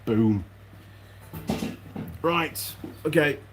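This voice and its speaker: A man's voice: a little high, lively intonation, very slow, background noise. A man chatting casually and unscripted to his viewers as a mechanic vlogger, rambling along and thinking aloud in his workshop.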